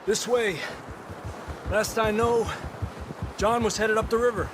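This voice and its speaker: An actor playing a loyal, earnest Mexican outlaw in a video game, speaking with a Mexican accent in short, encouraging phrases. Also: male voice, high voice, steady tone, measured pace, background noise. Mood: grave. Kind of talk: video game cutscene acting.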